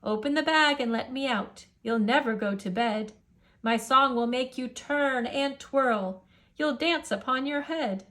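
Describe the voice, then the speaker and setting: A bold little storybook boy, played by a woman, speaks high and unhurried. He is cheeky and boastful, sliding into singsong as he bargains. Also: fairly bright tone. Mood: urgent, bargaining.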